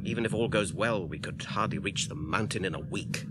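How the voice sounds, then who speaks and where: A man with an English accent playing a weary, gentle, burdened hobbit ring-bearer in a radio drama, halting and tremulous in his speech. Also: low voice, lightly acted. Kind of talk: British radio drama acting. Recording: noisy archive radio drama recording.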